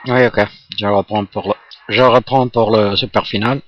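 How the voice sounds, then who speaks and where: Low-voiced man in a roomy, muffled recording. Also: fairly even intonation, normal speed. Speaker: amateur radio operator. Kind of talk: casual radio contact chat. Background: electric fan blowing nearby.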